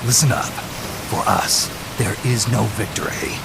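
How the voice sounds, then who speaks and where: An actor playing a battle-hardened mercenary leader who speaks in terse, low, laconic lines, over a loud game soundtrack. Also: male voice, animated, unhurried, creaky, very noisy. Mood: gruff. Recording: loud game score under voice.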